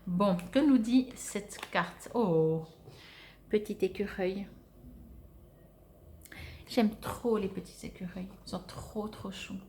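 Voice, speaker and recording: A woman's voice: mid-range voice, slow, pauses often, a little mellow, slight background noise. A woman giving an informal spiritual card reading to viewers, speaking conversationally and hesitantly as she searches for words. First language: French. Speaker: oracle card reader.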